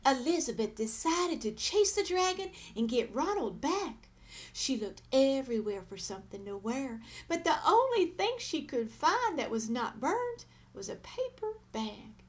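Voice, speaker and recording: A woman reading a children's story aloud as an expressive narrator. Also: sits high, measured pace.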